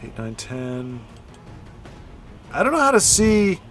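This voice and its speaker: A man's voice: fairly high pitch, huge pitch swings, slow, a bit bright, soft background music. A man giving casual play-by-play commentary on card game matches, rambling into chatty asides and correcting himself as he goes.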